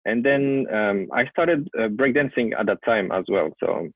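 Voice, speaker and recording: A young French man with a French accent, a photographer telling his story in a casual interview, often halting and repeating words as he searches for English. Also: fairly low pitch, lively intonation, breathy edge, no room sound. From France. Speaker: guest photographer. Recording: tinny call audio, dry.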